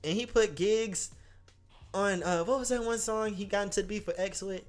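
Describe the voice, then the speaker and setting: A man's voice: high-pitched, normal speed. An American man hosting a casual radio conversation, talking in a slangy, profane, joking way. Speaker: radio show host. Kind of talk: casual banter interview about hip hop. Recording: music playing behind the voice.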